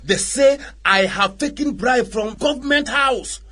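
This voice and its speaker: A man's voice: very high voice, measured pace, sharp tone, full character voice. An actor playing a proud, aggrieved Nigerian chief in a radio drama, declaiming his accusations indignantly with a Nigerian accent. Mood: angry, accusing.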